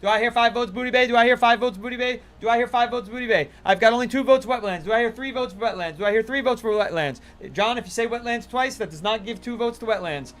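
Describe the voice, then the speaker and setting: A man's voice: very high voice, fast talker, few pauses, fairly bright tone. A man casually chatting on a gaming livestream, playful and talkative, thanking viewers as he plays. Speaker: livestream gamer.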